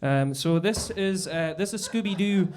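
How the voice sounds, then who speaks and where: A man headlining a live stand-up set, with a halting delivery full of fillers and stumbles. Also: high voice, darkish tone. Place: basement comedy club stage.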